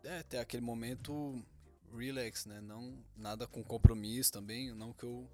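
Joking young man, mid-pitched. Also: moderate pace, soft background music. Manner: joking, boastful, deadpan teasing. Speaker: podcast co-host. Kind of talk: casual couple podcast chat.

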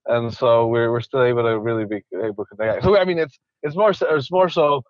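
A man's voice: medium pitch, fairly quick, no echo, dull audio. A man from Ecuador, a conservationist guest, talking casually in an interview with many restarts and repeated words.

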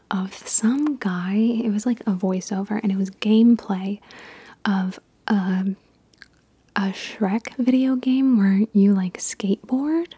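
Female ASMR creator, very breathy whisper, soft dark tone, dry close-mic sound, informal rambling delivery. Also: medium pitch, no echo. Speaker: ASMR video creator answering viewer questions.

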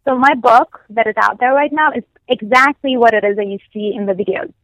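Woman, non-native English speaker, podcast guest, high voice, dry close sound, animated storyteller. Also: brisk, dry recording, lo-fi sound. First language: not English. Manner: animated storytelling, acts out quoted exchanges. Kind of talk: casual interview conversation.

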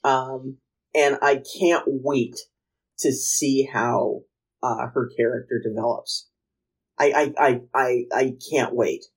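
A woman's voice: very deep voice, fairly even intonation, takes it slowly, breathy edge, low-quality recording. A woman co-hosting a casual recap chat, enthusiastic and emphatic, often repeating herself for effect. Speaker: podcast co-host. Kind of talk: casual, chatty TV recap discussion.

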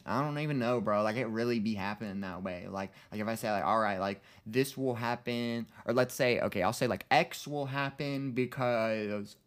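Young man, early twenties, fairly low pitch, casual podcast chat, slangy, earnest, meandering. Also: fairly bright tone. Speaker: podcast co-host.

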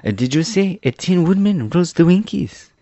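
A man's voice: high-pitched, expressive, moderate pace, mellow, dry recording, dull audio, voice pushed up. A man voicing a naive, polite pumpkin-headed man brought to life in a storybook, puzzled and earnest.

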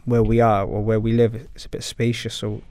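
A man's voice: fairly low pitch, completely flat delivery, brisk, dark tone, no echo, studio quality. A man speaking as an interview guest, talking earnestly and reflectively about his personal life.

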